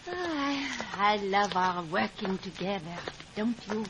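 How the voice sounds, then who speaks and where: A lovestruck young woman of the party with a lively, swinging mid-range voice. She sounds earnest and hesitant, in old radio drama style, over a noisy recording. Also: mid-range voice, unhurried. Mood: shyly adoring. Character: eager, lovestruck young woman, devoted communist comrade. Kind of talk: old-time radio spy drama acting.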